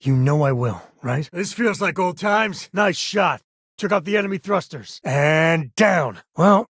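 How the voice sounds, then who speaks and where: An actor playing an easygoing, wry space cowboy lawman in a video game, protective and loyal, with a folksy, teasing delivery and a husky voice. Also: a little high, no room sound, clean studio sound. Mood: urgent, combat. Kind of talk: video game character voice lines.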